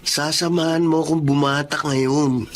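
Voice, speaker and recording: A man's voice: on the high side, steady tone, unhurried, slight background noise, close to an everyday voice. A man acting a pushy, manipulative young boyfriend in a radio drama, with a Filipino accent and a coaxing way of talking. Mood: pressuring. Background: loud music.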